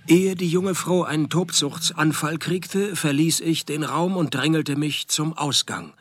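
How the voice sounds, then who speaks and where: A man playing a world-weary, sardonic private detective, narrating his case in a radio play in a dry, deadpan way. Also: on the high side, measured pace, faint music behind.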